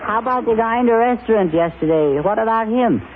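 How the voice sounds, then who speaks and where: A man's voice: sits high, lively intonation, brisk, slight background noise, voice pushed up. A man voicing a cheeky, lazy schoolboy dummy who fires back sassy comebacks in a 1930s radio comedy act. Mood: impudent. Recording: tinny 1930s radio broadcast, loud studio audience.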